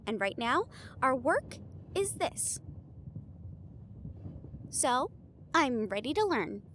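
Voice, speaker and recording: A woman's voice: high voice, very animated, slow pace, fairly bright tone, a little hiss or hum, heavily acted. A woman playing an earnest, studious, slightly bossy young woman in a scripted audio roleplay, speaking in an organized, teasing, formal way. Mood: earnest, businesslike.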